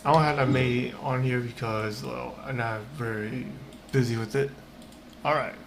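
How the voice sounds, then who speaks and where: A man's voice: medium pitch, steady tone, normal speed, gravelly. A man giving casual, off-the-cuff commentary as he streams a game. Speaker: gaming streamer. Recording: game music behind the voice.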